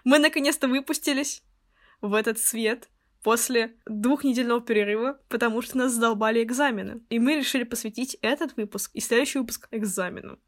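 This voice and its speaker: A woman's voice: a little high, brisk. A woman in her twenties, co-hosting a podcast in a casual, chatty way with playful exaggeration. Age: 20s. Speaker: podcast co-host.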